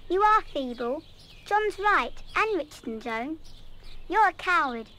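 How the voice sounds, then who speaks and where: A woman playing a headstrong, impulsive but warm-hearted English schoolgirl in an audio drama. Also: very high voice, very animated, unhurried, a little hiss or hum, exaggerated voice. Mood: indignant, resolute. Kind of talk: full-cast audio drama acting.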